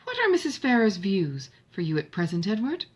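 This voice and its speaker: A woman's voice: medium pitch, huge pitch swings, a little fast, breathy edge. A woman voicing an affectionate, teasing middle-aged mother in a novel, questioning in formal language.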